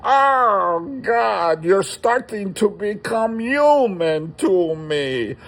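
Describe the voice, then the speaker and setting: A man voicing a flustered, exasperated captor who gives halting, pleading orders. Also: sits high, very animated, a bit bright, heavily acted. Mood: flustered, menacing. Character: flustered male captor with an accent, exasperated, squeamish.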